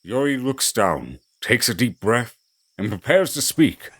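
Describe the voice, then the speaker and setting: A man's voice: medium pitch, sing-song, a little slow, fairly bright tone, husky. A man narrating a fantasy audio drama in a theatrical, scripted storytelling style.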